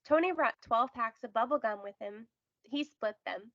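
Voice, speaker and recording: A woman narrating a children's storybook aloud with careful, expressive storytelling. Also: a little high, normal speed, no room sound, lo-fi sound. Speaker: storybook reader.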